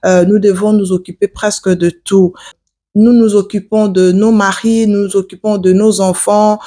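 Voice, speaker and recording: A woman's voice: mid-range voice, little pitch movement, warm tone. Earnest hesitant woman in her forties. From Africa. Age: 40s. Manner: hesitant, many fillers, earnest and measured. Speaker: vlogger. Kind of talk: casual advice talk to camera.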